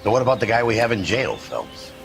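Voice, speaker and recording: An actor playing a blunt, grumbling middle-aged American detective in a 1940s crime video game. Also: male voice, lowish voice, big pitch swings, fast, gravelly, soft background music. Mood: gruff, disapproving.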